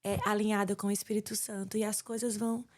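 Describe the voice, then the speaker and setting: A woman's voice: mid-range voice, level tone, fast, a little mellow. Woman, Northeastern Brazilian accent, 40s, pastor, earnest, reflective, interview guest. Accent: Northeastern Brazilian Portuguese. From Paraíba, Northeast Brazil. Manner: earnest, reflective, with frequent restarts. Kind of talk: conversational interview.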